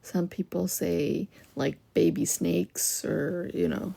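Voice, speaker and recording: A young woman with a lower voice chatting casually with viewers on a livestream, loose and full of "like" fillers. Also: fairly even intonation, takes it slowly, creaky, mellow, slightly rough, no room sound. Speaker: livestream host. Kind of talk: casual live-stream chat with viewers.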